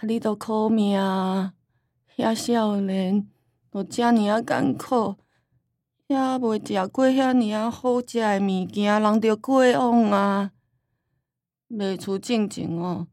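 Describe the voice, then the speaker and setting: A woman playing a forgetful, homely old grandmother in a radio drama, rambling and sighing with a Taiwanese accent and drawn-out sentence endings. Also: medium pitch, no room sound, studio quality. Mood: pitying, insistent.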